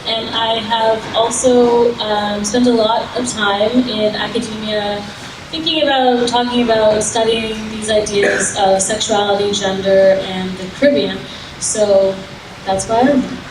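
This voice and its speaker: A woman from Canada giving an informal, halting self-introduction as an academic panelist. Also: mid-range voice, steady tone. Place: indoor public panel event. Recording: echoey room, distant mic, noisy.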